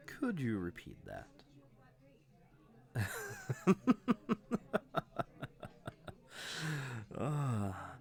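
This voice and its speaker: Man acting a low, gravelly, teasing villain. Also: a little mellow, heavily acted. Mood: amused, mocking. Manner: teasing, smug, unhurried. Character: teasing, flirtatious male villain on his day off. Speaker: voice actor. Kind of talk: scripted roleplay audio drama, addressed to the listener.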